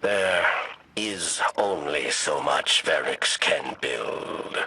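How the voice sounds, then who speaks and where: An actor playing an old, weary, sly insect-like alien scribe in a video game, rasping and halting, with questioning tags. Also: male voice, a bass voice, background noise, full character voice. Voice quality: gravelly, rasping. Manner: halting, third-person speech, questioning "yes?" tags. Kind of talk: dramatic video game acting.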